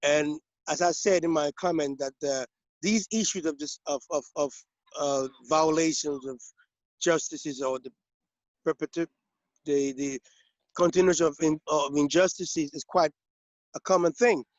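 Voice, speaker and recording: A man from Liberia speaking up in a webinar, making a long, halting comment full of restarts and repeated words over a video call. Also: a little high, fairly even intonation, no room sound. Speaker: webinar participant. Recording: dull, lo-fi video call audio.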